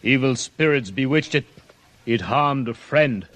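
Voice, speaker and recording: A man's voice: mid-range voice, expressive, a little slow, fairly bright tone, dry recording. A man playing a solemn, superstitious young Apache with stilted speech in a 1950s radio western. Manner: stilted, speaks of himself by name.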